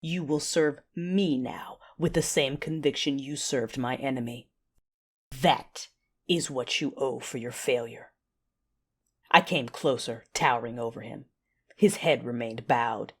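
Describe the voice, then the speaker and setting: A woman playing a betrayed, commanding dark-side warrior in an audio drama, narrating in the first person with intense, deliberate menace. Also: a bass voice, husky, heavily acted. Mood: cold, commanding.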